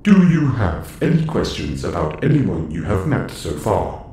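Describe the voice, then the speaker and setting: A man's voice: low voice, very animated, unhurried, mellow, exaggerated voice. A man playing a formal, clinical android boss of a starship in an audio roleplay, speaking in precise phrasing. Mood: measured, formal. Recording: roomy echo, faint background noise.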